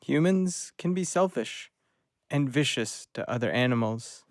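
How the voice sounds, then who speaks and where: A man's voice: medium pitch, lively intonation, measured pace, dry recording, clean studio sound, lightly acted. A man playing a loyal, eager rescue dog in an audio drama, earnest and pleading with his human.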